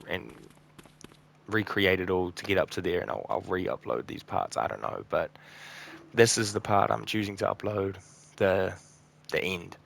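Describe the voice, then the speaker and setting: A weary man with a low, gravelly, croaky voice talks casually over gameplay. He sounds tired and burnt out, rambling and trailing off between thoughts. A faint hiss of noise sits under the recording. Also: fairly bright tone. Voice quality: croaky, a bit raspy. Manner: subdued, rambling, frequent ums and trailing off. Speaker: gaming channel host.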